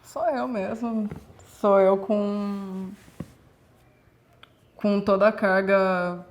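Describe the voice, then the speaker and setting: Young woman from Brazil, performance artist; calm, level, introspective interview voice. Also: medium pitch, drawn-out words. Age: 20s. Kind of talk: reflective documentary interview.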